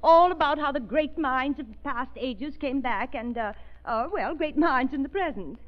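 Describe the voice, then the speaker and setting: A woman's voice: high-pitched, normal speed, natural voice. An actress playing a flighty, effusive society woman in an old-time radio drama, chatty and fluttery. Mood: eager, flighty. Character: gushing, flighty society woman, eager house guest. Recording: dull vintage radio recording.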